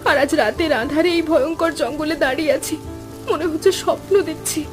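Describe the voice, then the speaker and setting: A woman as an anxious, high-voiced village wife. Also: brisk, over music, close to an everyday voice. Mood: fearful. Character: anxious village wife and mother, fretful, sheltered. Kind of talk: cartoon voice acting.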